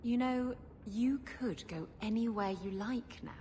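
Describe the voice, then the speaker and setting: A woman's voice: a little high, fairly even intonation, a little slow, dark tone, lightly acted. A woman playing a saucy, teasing female pirate captain in a video game, with a breezy delivery. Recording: long hall reverb, faint noise.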